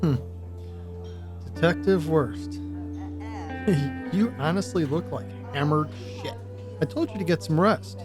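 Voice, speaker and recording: Guy acting as caring old-hand ex-cop, slightly high voice, casual n steady, guitar music behind, radio drama. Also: takes it slowly, long pauses. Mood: concerned. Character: concerned veteran ex-cop friend, steady and frank. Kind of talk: crime audio drama acting.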